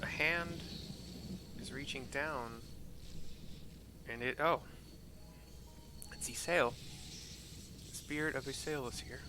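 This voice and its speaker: A man streaming a game, describing the scenes on screen in an informal, halting, descriptive play-by-play. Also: mid-range voice, music in the background. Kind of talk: casual play-by-play describing cutscene visuals. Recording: clear game noise behind voice.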